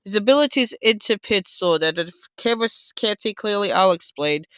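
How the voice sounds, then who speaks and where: A man hosting a casual, unscripted how-to video, talking loudly and rambling as he corrects himself. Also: sits high, animated, fairly quick, smooth, dry recording. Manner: loud, rambling, self-correcting. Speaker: host of a card game tutorial video. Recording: dull, lo-fi sound.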